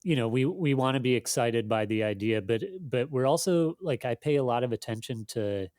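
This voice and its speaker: A man speaking as an interviewed editor, casual and halting, thinking aloud with lots of conversational fillers. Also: mid-range voice, darkish tone, dry recording, studio quality. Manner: thinking aloud, halting, peppered with "you know" and "like". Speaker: magazine editor being interviewed.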